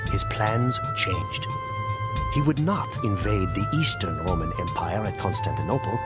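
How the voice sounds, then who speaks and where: A man playing a solemn chronicler in a game cutscene, telling a tale of conquest in a grave, measured, dramatic storytelling style. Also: on the low side. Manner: grave, measured, deliberate pauses. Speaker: narrator of a game campaign cutscene. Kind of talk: dramatic scripted storytelling narration. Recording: music behind the voice. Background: music.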